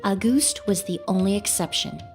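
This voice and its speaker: A woman playing a refined young perfumer in an anime game, speaking in a polite, measured, explanatory way. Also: medium pitch, huge pitch swings. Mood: explaining calmly. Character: refined young woman perfumer, composed, courteous, quietly principled. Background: music.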